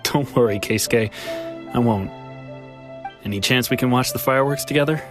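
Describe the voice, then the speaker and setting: A man's voice: medium pitch, moderate pace, over music, lightly acted. A man playing an earnest, wistful old friend in an anime video game, speaking with some hesitance. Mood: fond, reassuring.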